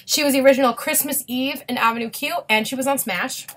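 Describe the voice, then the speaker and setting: A woman's voice: high-pitched, fast talker, fairly bright tone. A woman in her twenties chatting casually and enthusiastically to her viewers, rambling and gushing like a devoted fan. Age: 20s. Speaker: vlogger showing autographs.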